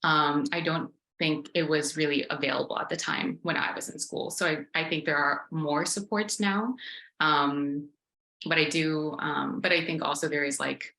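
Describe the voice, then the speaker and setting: Low-voiced woman in her thirties, bright tone. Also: fairly even intonation, normal speed, creaky, reverberant. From Canada. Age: 30s. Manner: reflective, many fillers and restarts. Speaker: interviewee, business founder. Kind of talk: casual interview storytelling.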